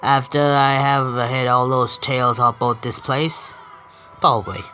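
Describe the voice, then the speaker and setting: A man's voice: medium pitch, steady tone, a little slow, bright, forceful tone, slight background noise. A man streaming himself reading a visual novel aloud, often stumbling and correcting himself.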